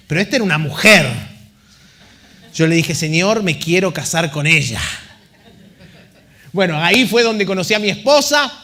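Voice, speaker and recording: High, bright man telling his testimony. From Argentina. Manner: joking, chatty storytelling to a congregation. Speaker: missionary guest speaker. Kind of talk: church testimony.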